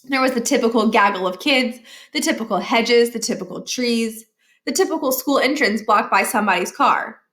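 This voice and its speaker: A woman reading a children's novel aloud as an expressive storyteller. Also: fairly high pitch, normal speed. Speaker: storybook reader.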